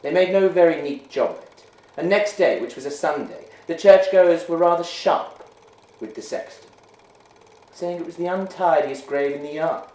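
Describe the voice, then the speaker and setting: A man giving a measured, hushed dramatic reading of a ghost story. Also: on the high side. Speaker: reader. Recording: roomy echo.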